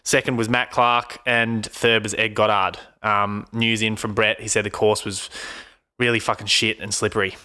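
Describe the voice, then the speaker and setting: Bright-voiced Australian podcast host. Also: male voice, lowish voice, moderate pace. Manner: teasing, laughs often, relaxed. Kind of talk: casual podcast chat.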